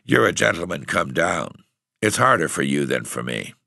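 A man voicing a frail, husky eighteen-year-old runaway tramp boy with a cocky, bitter, streetwise way of talking. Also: a bass voice, big pitch swings, normal speed, bright, forceful tone, no echo, studio quality, heavily acted. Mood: defiant, streetwise. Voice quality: husky, hoarse.

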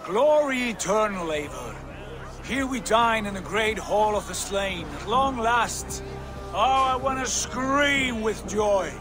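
A man's voice: high-pitched, animated, slow. An actor playing an exultant Viking warrior in a video game, with a grand, declamatory way of savoring his words over music. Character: exultant Viking warrior brother feasting in Valhalla. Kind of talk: dramatic video game acting.

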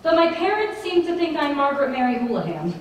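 A woman's voice: high-pitched, sing-song, quick pace. A woman playing a sassy young dancer from a small town in a stage musical. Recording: echoey theatre, distant, faint noise.

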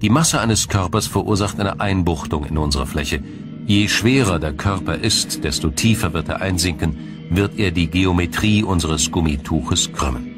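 A man with a low voice delivers scripted documentary narration. He explains in a measured, formal way, sentence by careful sentence, over faint background music.

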